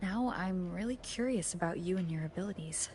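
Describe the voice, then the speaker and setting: A woman's voice: lowish voice, fairly quick, a little mellow, slight background noise, close to an everyday voice. A woman playing an inquisitive, earnest companion on a quest in a video game. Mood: curious. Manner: curious, earnest. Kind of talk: dramatic video game voice acting.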